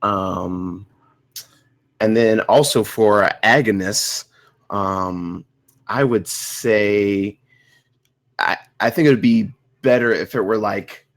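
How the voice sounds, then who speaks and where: A man's voice: lowish voice, drawn-out words, pauses often. A man giving casual, conversational feedback on a script as a panelist. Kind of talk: casual spoken critique.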